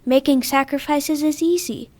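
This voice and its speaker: A woman acting a devout young girl in an audio drama. The voice is high, gentle and earnest, with a pious, tender way of explaining things. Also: moderate pace, lightly acted.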